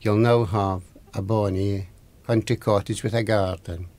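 A man's voice: fairly low pitch, steady tone, unhurried, a little mellow, natural voice. A man voicing the old man of the sea, a magical wish-granting fish in a folktale, with a Scottish accent and emphatic delivery. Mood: commanding. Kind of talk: oral folktale storytelling.

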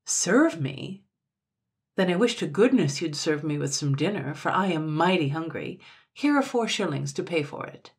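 A woman's voice: low-pitched, animated, normal speed, husky, heavily acted. A woman voicing a soldier's young son in a storybook, bold, cheeky and forthright. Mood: demanding. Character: young, hungry, plain-spoken soldier's son. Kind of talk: storybook character voice.

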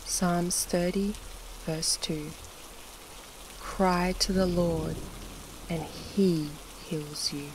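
A narrator reading scripture and prayer for a sleep meditation in a measured, solemn way with pauses between short phrases, in a devotional style. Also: medium pitch, a little hiss or hum, rough recording. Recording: steady rain sound over the voice. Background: soft rain.

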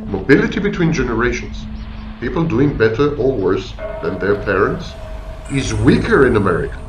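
A man delivering scripted commentary in short declarative phrases. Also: mid-range voice. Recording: echoey, noisy, distant-sounding.

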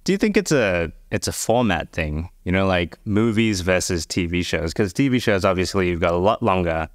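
Male podcast panellist, deep voice, casual thinking-aloud delivery, dry sound. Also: animated, normal speed, no room sound.

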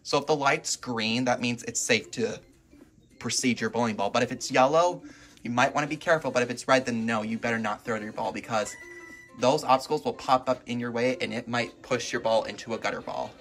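A man with a bright, forceful voice gives casual commentary over a video game. He is excitable and reacts aloud as he plays. He is recorded at home, with faint game music behind him. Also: mid-range voice, brisk. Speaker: gaming video host. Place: indoors at home, filming a TV.

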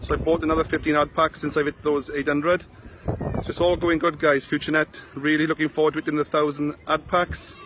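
A rambling, chatty man with a thick South Wales valleys accent, talking into a phone at arm's length outdoors. His voice sits a little high for a man and stays fairly flat in pitch. Faint outdoor noise hums behind him. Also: brisk. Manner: rambling, chatty, greets viewers by name. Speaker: online marketing vlogger. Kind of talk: casual Facebook live chat. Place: sunny beach outdoors. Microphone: phone held at arm's length.

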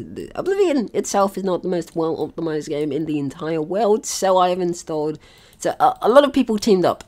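A young adult gaming host giving casual let's play commentary in an excitable, sweary, rambling manner. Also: mid-range voice.

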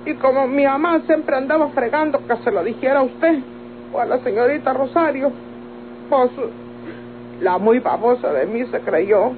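A woman's voice: high-pitched, normal speed, natural voice. A woman playing a naive, indignant young village woman in a Mexican radio soap opera, with a Mexican accent and plaintive, rustic speech. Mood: sheepish. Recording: tinny old radio recording, faint noise.

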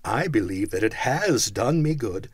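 A man voicing a cheerful, warm-hearted young nephew who pleads earnestly. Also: lowish voice, expressive, measured pace, breathy edge, no room sound, studio quality.

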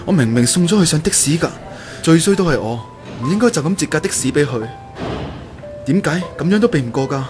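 A man's voice: a little high, expressive, a little fast, close to an everyday voice. Guy acting earnest young hong kong man in a radio drama, emotional, regretful, music behind. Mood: panicked, distressed. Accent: Hong Kong Cantonese.

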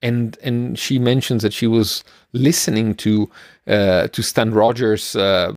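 Low, gravelly middle-aged man chatting. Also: steady tone, a little slow, a little mellow, no room sound, clean studio sound. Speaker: podcast co-host. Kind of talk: casual explanatory chat. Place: small room at home, on a video call. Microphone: close microphone, headphones.

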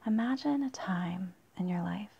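A woman leading a guided meditation in a soft, breathy, husky near-whisper with long pauses, in a dull, muffled recording. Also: medium pitch, expressive.

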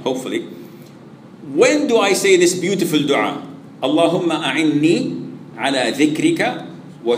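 A man's voice: high-pitched, reverberant, slight background noise, low-quality recording. A male preacher giving a conversational lecture in English, sprinkling in Arabic phrases and answering his own questions. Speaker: Islamic preacher.

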